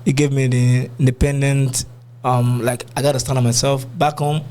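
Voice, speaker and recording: A young man with a Nigerian accent speaks as a guest on a music podcast. His talk is colloquial and casual, in a medium-pitched voice. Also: flat delivery, moderate pace, mellow. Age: young adult. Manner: colloquial, uses "you know what I'm saying". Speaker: musician being interviewed.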